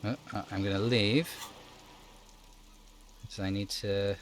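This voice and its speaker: A man with a fairly low voice streams a video game. He reads the narration aloud and slips into casual chat, with rain and some noise in the background. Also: steady tone, takes it slowly.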